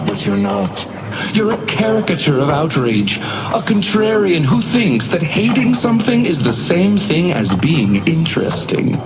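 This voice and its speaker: An actor voicing a genteel, sinister hotel doorman in a video game, taunting guests in a measured, theatrical monologue with silky menace. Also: high voice, very animated, heavily acted. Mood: mocking disdain. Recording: echoing voice over music. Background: music.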